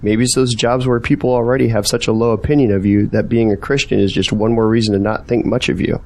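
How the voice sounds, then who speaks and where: A man's voice: lowish voice, level tone, quick pace, darkish tone, natural voice. Earnest man, searching and persuasive, questioning manner, audio drama dialogue, acted by a man. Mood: tentative, reflective.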